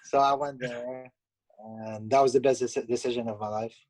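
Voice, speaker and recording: A man from Canada, a pizzeria owner, answering interview questions in a halting way with many fillers. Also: mid-range voice, fairly even intonation, a little mellow, rough recording.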